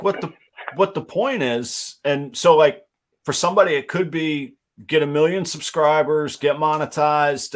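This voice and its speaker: A man in his forties, a guest breeder on a livestream, chatting in a rambling, reflective and self-deprecating way. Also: fairly high pitch. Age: 40s. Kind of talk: casual livestream chat.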